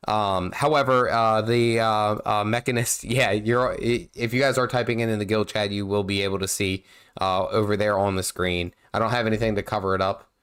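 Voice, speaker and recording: Guy streaming a game, stuffy nasal voice cause he's sick, kinda low, clean sound, lots of ums. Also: fairly even intonation, fairly quick, fairly bright tone, smooth. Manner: rambling, many fillers and restarts, chuckling. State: nasal from feeling unwell. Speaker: gaming livestream host. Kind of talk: casual livestream commentary while playing.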